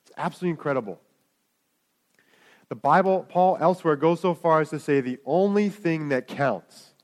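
A man's voice: fairly high pitch, normal speed. A man preaching a sermon, in a conversational way with asides to the congregation. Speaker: pastor.

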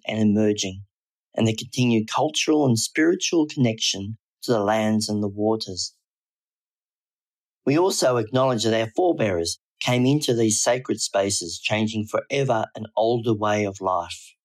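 A man leads a scripted prayer. His voice is on the low side, and the delivery is that of a formal, read-out blessing. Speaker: prayer leader.

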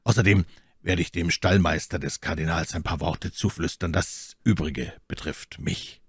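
A man voicing a secretive, resolute musketeer in a storybook reading, speaking in a hushed, calm, terse way. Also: sits low, normal speed, slightly rough, no room sound, clean studio sound. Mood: calm, guarded. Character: cool-blooded male musketeer, secretive and resolute.

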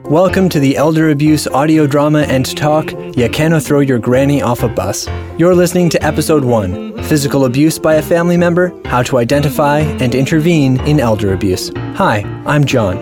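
A man's voice: a little high, fairly quick. A man hosting an audio drama and talk program, reading scripted narration. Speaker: host. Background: music.

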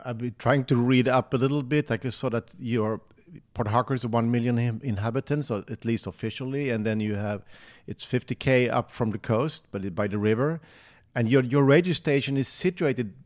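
A man's voice: mid-range voice, a little mellow. A male host with a mid-range voice interviewing guests. He stumbles and restarts his questions often, keeping a loose conversational feel. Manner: halting, many restarts. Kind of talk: casual interview.

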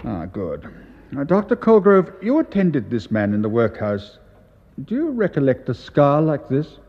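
A grave English medical official in a radio drama. He is formal, careful and solicitous, with an educated accent and a middle-pitched voice. The recording is a touch dull. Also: huge pitch swings, heavily acted. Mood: officious. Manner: measured, polite, officious.

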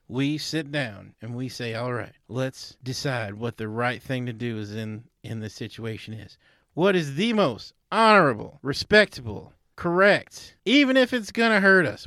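Mid-pitched man doing mock-serious improv comedy. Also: a bit bright, no echo, studio quality.

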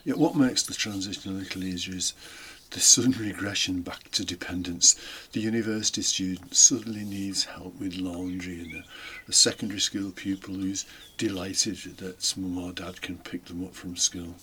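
A man's voice: low-pitched, steady tone, moderate pace, few pauses, sharp tone. A British man giving an informal, reflective homily, explaining things with conversational asides. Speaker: homily podcast host, deacon. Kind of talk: informal homily, partly scripted. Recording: faint background noise.

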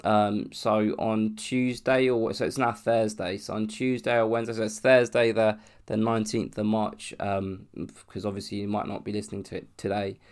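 A man's voice: on the low side, level tone, moderate pace, few pauses, clear, resonant tone. A young man from London with a cold and a sore throat, hosting a solo podcast in a rambling, casual way, restarting and swearing as he talks. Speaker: podcast host.

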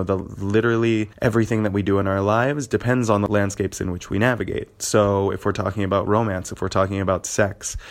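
A man, a podcast guest, explaining his points step by step in a casual interview. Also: on the low side.

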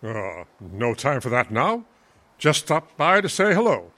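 A man playing a brisk, formal school board official who gets flustered, in an old-time radio comedy. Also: medium pitch, big pitch swings, sharp tone.

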